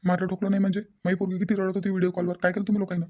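A woman's voice: mid-range voice, level tone, rapid-fire, close to an everyday voice. A woman voicing an agitated, protective middle-aged father in a cartoon family drama, firing demanding questions. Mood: accusing, upset. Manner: loud, repetitive, demanding questions. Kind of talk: Marathi cartoon family comedy-drama acting.

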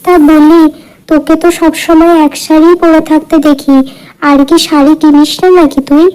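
A woman's voice: high voice, level tone, moderate pace, darkish tone, lightly acted, poor audio. A woman voicing a spiteful, boastful, condescending neighbour woman in a cartoon village tale. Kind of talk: cartoon fairy-tale dialogue. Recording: music under the voice.

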